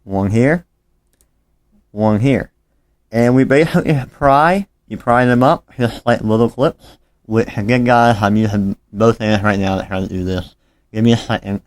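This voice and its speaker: A man with a fairly low voice narrates a casual hands-on repair tutorial. He talks informally and a little apologetically while busy with his hands, and the sound is dry and close, as filmed on a handheld phone. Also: a little slow, no echo.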